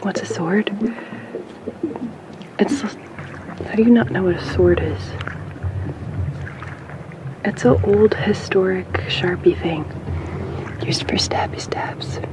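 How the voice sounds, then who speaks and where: A woman playing a playful, sassy young companion to a captive merperson in an ASMR bedtime roleplay, often whispering in a breathy, affectionate way. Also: mid-range voice, lightly acted, low-quality recording. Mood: wry. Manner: snarky, affectionate, hushed, chatty and halting.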